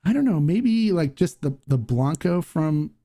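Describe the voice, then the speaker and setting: A man's voice: fairly high pitch, very animated, warm tone. Rambling man answering live-chat questions. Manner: rambling, halting, many restarts and fillers. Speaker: host of a live Q&A stream. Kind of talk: casual livestream Q&A, reading viewer comments.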